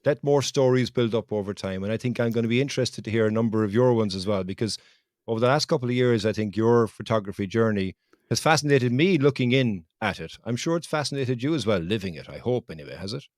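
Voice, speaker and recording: A man's voice: medium pitch, lively intonation, fast talker, darkish tone, dry recording, clean studio sound. A man with an Irish accent hosting a podcast, asking questions in an eager, encouraging way. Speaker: podcast host. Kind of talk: casual interview chat.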